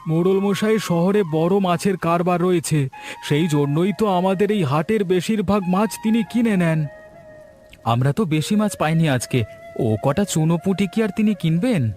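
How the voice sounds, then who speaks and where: A man's voice: sits high, moderate pace, darkish tone, natural voice. A man voicing a practical village fisherman. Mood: chatty. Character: village fisherman and father, steady. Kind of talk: cartoon voice acting. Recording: faint music under the voice.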